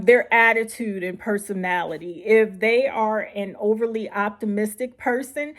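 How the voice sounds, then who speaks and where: A middle-aged woman with a mid-pitched voice speaks as a writing coach. Her delivery is informal and conversational, as if addressing each viewer directly. She is encouraging and puts emphasis on the points she wants remembered. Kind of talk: informal instructional talk to viewers.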